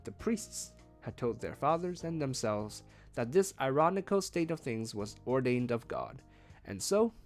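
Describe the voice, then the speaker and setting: A man reading a novel aloud as a streamed read-along, with expressive, emphatic phrasing. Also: mid-range voice, a little slow, faint music behind. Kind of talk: read-along audiobook narration.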